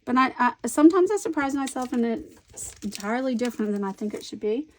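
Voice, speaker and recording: A woman from the American South with a softened Southern accent, rambling and amusing herself as she narrates her scrapbooking. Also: a little high, animated, fairly quick, slight background noise. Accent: light Southern American. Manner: rambling, self-amused, talks to herself. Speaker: scrapbooking vlogger. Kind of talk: chatty crafting commentary while working.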